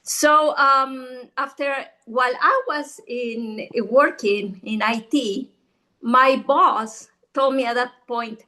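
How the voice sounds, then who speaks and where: Middle-aged Ecuadorian woman, Spanish native, earnest storyteller with a fairly high voice. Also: takes it slowly, pushed and bright, poor audio. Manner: earnest, storytelling, many restarts. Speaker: guest realtor. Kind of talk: casual interview chat.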